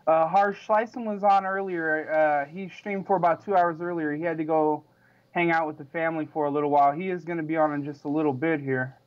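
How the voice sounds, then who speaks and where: A man's voice: a little high, fairly even intonation, brisk, dull audio. A man in his late twenties chatting casually and asking questions on a stream voice call. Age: 20s. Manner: curious, asks questions, hesitant restarts. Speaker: stream co-host. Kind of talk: casual chat over voice call.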